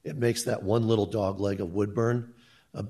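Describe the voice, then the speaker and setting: Deep-voiced town engineer guy explaining traffic stuff at a meeting mic, loud, plain talk with restarts. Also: flat delivery, moderate pace. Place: public meeting room. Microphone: handheld microphone.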